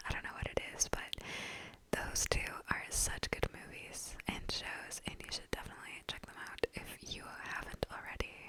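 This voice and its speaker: Slow whispering young woman. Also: low-quality recording. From southern United States. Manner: soft unvoiced whisper, slow and gentle; casual, uses "like" and "you know". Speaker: ASMR channel host. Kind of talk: whispered ASMR Q&A.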